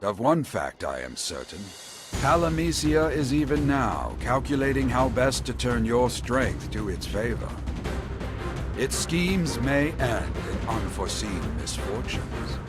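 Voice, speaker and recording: A man playing a courtly, wistful old mentor in a video game cutscene, speaking in formal, archaic, measured phrases. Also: medium pitch, very animated. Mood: grave. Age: older. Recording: loud music behind the voice.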